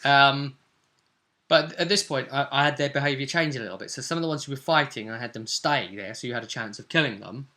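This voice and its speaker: A man's voice: medium pitch, fairly quick, sharp tone. A man recapping a tabletop game as its game master in casual chat, often checking for agreement with tag questions.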